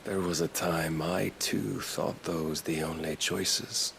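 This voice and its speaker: Slow, deep-voiced zealot king. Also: level tone, gravelly, fairly bright tone, slight background noise, exaggerated voice. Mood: solemn, preaching. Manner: grandiose, deliberate, archaic sermon-like oratory. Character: zealous fanatical king, grandiose villain preaching divine salvation. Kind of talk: theatrical fantasy game cutscene acting.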